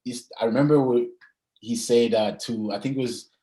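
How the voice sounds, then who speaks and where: A man's voice: mid-range voice, fairly even intonation, warm tone, low-quality recording. A young man, chatting casually and hesitantly as an athlete being interviewed. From Zimbabwe and South Africa. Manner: hesitant, frequent pauses and "you know".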